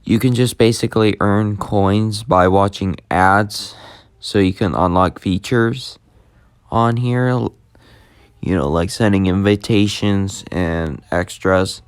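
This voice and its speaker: Slow-talking man with a deep voice. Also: steady tone, smooth, dry recording, clean studio sound. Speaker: YouTube host demonstrating an app. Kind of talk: casual unscripted tutorial commentary.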